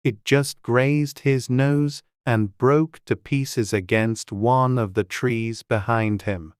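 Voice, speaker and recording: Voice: medium pitch, steady tone, slow pace, smooth, no room sound, clean studio sound. An audiobook narrator reading a dramatized storybook.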